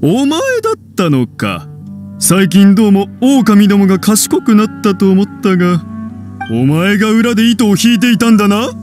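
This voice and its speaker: A man's voice: sits high, expressive, normal speed, a little mellow, faint music behind. An actor playing a smug, accusing townsman in an anime game, mocking and condescending.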